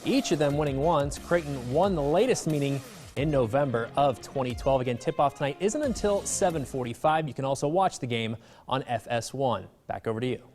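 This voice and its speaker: A man's voice: medium pitch, brisk, a little mellow, faint music behind. A man with an American accent delivering a scripted sports report on a newscast. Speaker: sports anchor.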